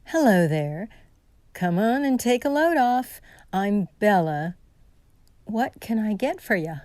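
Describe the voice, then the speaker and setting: A woman plays an earnest, superstitious diner waitress in a radio mystery. She takes her time over each line, with lively pitch movement and a folksy turn, recorded dry and close. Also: slow, no echo. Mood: welcoming. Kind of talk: radio mystery drama acting.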